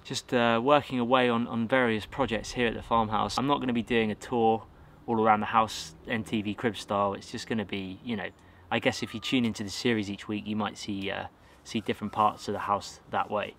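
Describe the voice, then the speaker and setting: An English man in his thirties talking casually to camera outdoors in a garden, relaxed and rambling with fillers and self-corrections. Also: fairly low pitch, brisk, gravelly, hardly any pauses, a little hiss or hum. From England. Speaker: outdoors vlogger. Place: outdoors in a garden by a wooden shed.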